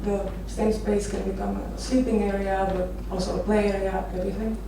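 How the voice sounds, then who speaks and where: A woman in her thirties from Romania gives an informal talk as a photographer, telling personal stories with hesitant, halting phrasing. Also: medium pitch, flat delivery, creaky. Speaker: architecture photographer giving a conference talk. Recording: echoey noisy venue, distant microphone.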